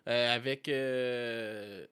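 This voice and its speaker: Excitable Québécois man hosting a podcast. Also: mid-range voice, extremely slow, clear, resonant tone. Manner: excitable, laughs often, swears casually. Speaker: podcast host. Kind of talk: casual music review chat.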